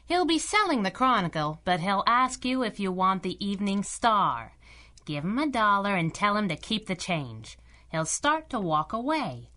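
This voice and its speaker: Casting: tough, sardonic female pulp sidekick, sharp bright tone, very animated, 1930s slang. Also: medium pitch, normal speed. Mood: brisk, commanding. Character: brash, sarcastic masked heroine sidekick, jealous and tough-talking. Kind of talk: pulp radio drama acting in a 1930s manner.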